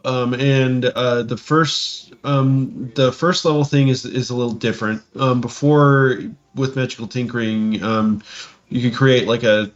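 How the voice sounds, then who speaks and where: A man co-hosting a podcast, casually discussing tabletop game rules and haltingly thinking aloud as he reads. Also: medium pitch, steady tone. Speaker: podcast co-host. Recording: dull lo-fi audio, music behind.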